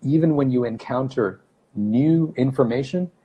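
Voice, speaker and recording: A man's voice: medium pitch, moderate pace, slightly rough, lo-fi sound. A man in his thirties from Brunei, whose first language is not English, talks casually on a livestream as an English teacher, often correcting himself and greeting viewers by name. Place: small office room indoors. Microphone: phone camera at arm's length.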